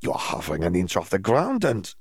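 Storybook role: scolding, anxious protector, husky and swinging in pitch male reader in character. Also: huge pitch swings, a little fast, dry recording, clean studio sound, heavily acted. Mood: exasperated. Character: exasperated, protective, anxious companion of a child.

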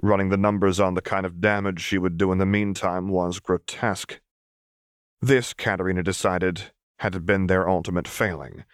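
A man's voice: low-pitched, dry recording, studio quality. A man reading dramatic fiction as an audiobook narrator, in a scripted storytelling style.

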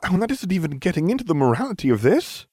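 A man's voice: on the high side, sing-song, fast, darkish tone, dry recording, clean studio sound, voice pushed up. A man voicing a prim, fretful young schoolgirl with an indignant, scolding manner in an audiobook.

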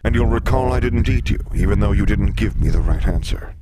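Man voicing a booming, menacing sphinx monster. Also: a little fast, mellow, breathy edge. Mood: threatening, indignant. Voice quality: growling, booming. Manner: domineering, taunting, theatrical. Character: menacing, mocking old male sphinx monster, riddle master. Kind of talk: audiobook character acting.

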